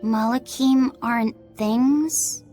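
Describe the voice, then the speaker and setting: A woman's voice: a little high, slow, mellow, faint music behind. A woman voicing an innocent, bookish young boy spirit in a video game, earnest and hesitant. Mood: wondering. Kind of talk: video game cutscene acting.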